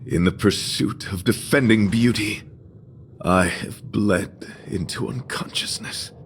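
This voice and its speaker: An earnest, slow-spoken, courtly knight. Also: male voice, lowish voice, a little hiss or hum. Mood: solemn, devoted. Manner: formal, florid, theatrical, solemn. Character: earnest, courtly male knight devoted to beauty. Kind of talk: English game dub acting. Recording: music behind.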